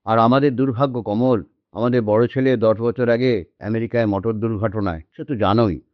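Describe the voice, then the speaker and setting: A man's voice: fairly low pitch, moderate pace, no room sound, natural voice. An actor playing an anxious, lonely old man in a radio drama, speaking hesitantly and repeating his words. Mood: weary sorrow. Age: older. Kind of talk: Bengali radio drama acting. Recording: dull lo-fi audio with background music.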